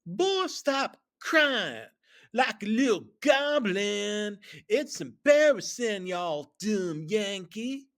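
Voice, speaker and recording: Man doing a high Southern fox boy, bossy. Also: very animated, very slow, fairly bright tone, exaggerated voice. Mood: scornful. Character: bossy young fox boy, tough and scornful.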